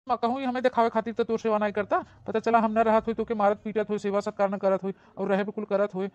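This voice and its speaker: A woman's voice: mid-range voice, level tone, fast talker, darkish tone, no echo, lo-fi sound, lightly acted. A woman playing an emphatic village husband in a cartoon comedy, with an Awadhi accent and a habit of repeating himself. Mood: curious, probing. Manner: emphatic, repetitive. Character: village husband and son, astonished, questioning.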